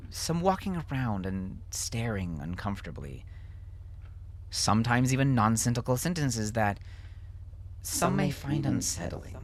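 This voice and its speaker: A man acts out a weary father in an audiobook. He explains things carefully and haltingly, trying to soothe. His pitch rises and falls a great deal. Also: full character voice. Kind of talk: audiobook character acting.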